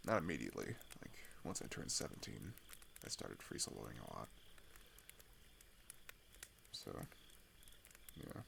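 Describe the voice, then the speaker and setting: Male actor as open-hearted teen runaway climber, ASMR roleplay. Lively intonation, halting and confiding, gravelly, some background noise. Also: very deep voice, full character voice. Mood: earnest, cautioning. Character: open-hearted teenage male climber fleeing across a border, weary but caring. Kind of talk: ASMR roleplay audio drama.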